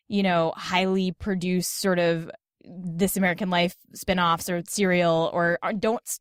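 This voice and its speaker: A woman's voice: fairly low pitch, dry recording, studio quality. A woman talking casually as a podcast guest, chatty and informal with an emphatic way of making her points. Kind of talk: casual conversational panel talk. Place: small closet at home.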